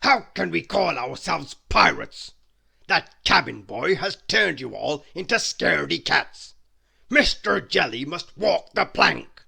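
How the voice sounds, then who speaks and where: Ein polternder Piratenkapitän, gespielt von einer Frau, rau und scharf, aus einem Kinderbuch. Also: female voice, deep voice, very animated, slow, creaky, pushed and bright, husky, full character voice. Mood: angry. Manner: roaring, exasperated. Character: blustering loud pirate captain, man.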